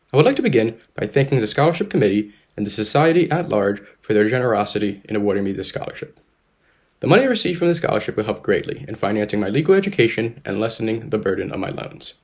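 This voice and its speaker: Young guy reading a thank-you note quick, bouncy pitch, kinda low voice. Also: darkish tone. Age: 20s. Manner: polished, formal reading. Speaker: law student, scholarship recipient.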